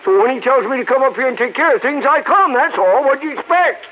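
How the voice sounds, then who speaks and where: An actor playing a cantankerous old Western ranch hand, talking in a cackly, folksy drawl in a 1950s radio drama. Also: male voice, very high-pitched, lively intonation, quick pace. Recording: phone-like old radio recording with hiss.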